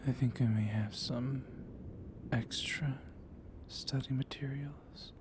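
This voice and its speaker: A man acts out a seductive vampire librarian with a gently commanding, flirtatious air. He speaks very slowly in a soft, hushed voice that often drops into a whisper, as in an intimate ASMR roleplay. His pitch is an ordinary middle male one, and a clear rumble of thunder runs behind him. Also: steady tone, lightly acted. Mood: teasing, seductive.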